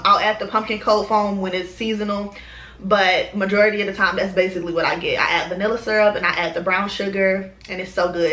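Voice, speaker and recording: A woman's voice: medium pitch, pushed and bright, roomy sound. A woman, chatting casually to her camera, rambling with lots of ums and slang. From Chicago, US. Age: 20s. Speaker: lifestyle vlogger.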